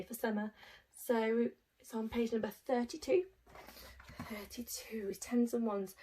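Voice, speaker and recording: Casting: woman, higher-pitched voice, primary teacher doing an expressive read-aloud for young pupils with simple asides. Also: husky. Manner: addresses young children, explaining simply with rhetorical tag questions. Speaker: infant school teacher reading to pupils.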